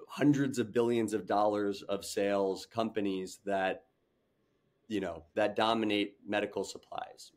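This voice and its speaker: A young man, a startup founder, talking earnestly on a video call interview, with many fillers and restarts. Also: on the low side. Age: young adult. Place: home room with large window.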